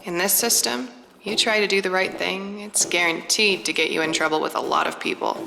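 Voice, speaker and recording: A woman's voice: medium pitch, steady tone, brisk, creaky, background noise, natural voice. A woman playing a firm, matter-of-fact starship captain in a sci-fi audio drama. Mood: guarded. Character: stern, principled female starship captain, guarded and authoritative. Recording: roomy echo with music underneath.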